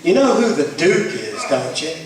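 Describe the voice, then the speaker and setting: A man tells a story live as puppet show narrator. His voice is fairly high and carries through a very echoey hall from a distance. He rambles, bumbles and teases as he ad-libs. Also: sing-song, normal speed, a crowd in the background. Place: church hall.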